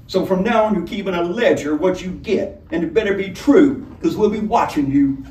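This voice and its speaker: A man voicing a swaggering, threatening highway robber with rough, folksy commands in a storybook reading. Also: a little fast, a little mellow, close to an everyday voice. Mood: menacing. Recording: roomy, distant microphone, some noise.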